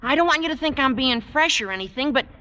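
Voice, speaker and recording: A man playing an eager, cheeky teenage schoolboy with a squeaky, cracking voice in a vintage radio comedy. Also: fast talker, exaggerated voice. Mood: eager, sheepish. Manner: excitable, gee-whiz earnestness. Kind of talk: 1950s radio comedy acting. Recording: old radio recording, faint noise, studio audience.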